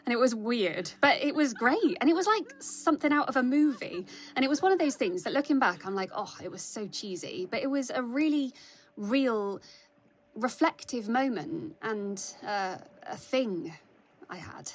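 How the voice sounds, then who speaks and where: A woman's voice: fairly high pitch, expressive, normal speed. A woman telling a candid personal story in a conversational way, acting out remembered dialogue. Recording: music behind the voice. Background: music.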